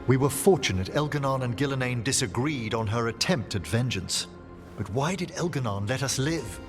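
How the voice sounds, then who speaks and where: An actor voices a cultured gentleman scholar in a fantasy game. He speaks formally and uneasily in a medium-pitched man's voice, with clear music beneath. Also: lively intonation, normal speed, close to an everyday voice. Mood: uneasy. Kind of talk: video game dialogue.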